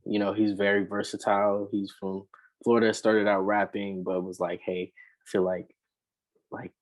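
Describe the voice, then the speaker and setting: A man, a rapper and singer, talking casually in an interview, relaxed and rambling with many fillers. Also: lowish voice, normal speed, low-quality recording.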